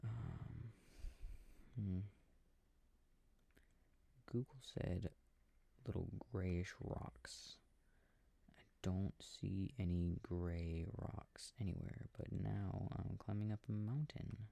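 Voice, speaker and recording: A man playing an affectionate, easily startled boyfriend in an intimate sleep-aid roleplay, rambling softly to himself about his game and often drifting into whispers. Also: deep voice, steady tone, extremely slow, vocal fry, long pauses, no room sound, exaggerated voice. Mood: absorbed, muttering.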